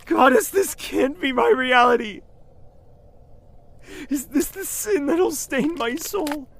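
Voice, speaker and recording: A performer in an audio drama playing a traumatised young prince, pleading and despairing, with raw emotion and halting delivery. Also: sits high, vocal fry, full character voice. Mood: anguished. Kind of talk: audio drama acting with inner monologue.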